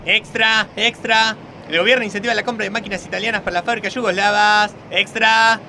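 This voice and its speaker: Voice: mid-range voice, steady tone, brisk, a little hiss or hum. An adult voicing a cheeky, eager young street newsboy in a comedy radio sketch. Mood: crying out news. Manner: shouting street-vendor cries, haggling.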